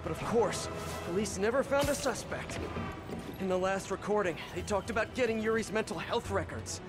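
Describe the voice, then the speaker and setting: A fairly high-voiced young hero , played by a man, mutters his thoughts as he searches, earnest and a little wry. He sounds worried under the jokes. A music score plays clearly behind him. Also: normal speed, lightly acted. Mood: musing. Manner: thinking aloud, wry and worried. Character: young male web-slinging hero, earnest. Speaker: game protagonist. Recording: game audio with music.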